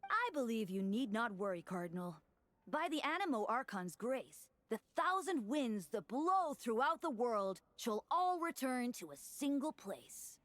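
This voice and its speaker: A woman playing a lively, courteous young deacon. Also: a little high, measured pace, no echo. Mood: composed, polite. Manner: polite, formal, composed. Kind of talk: fantasy video game voice acting. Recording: music behind the voice.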